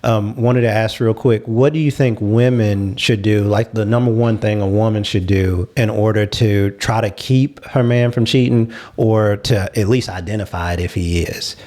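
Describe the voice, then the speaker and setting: A man's voice: fairly low pitch, fairly even intonation, normal speed, hardly any pauses, a little mellow. Earnest man hosting a casual panel talk. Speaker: talk show host.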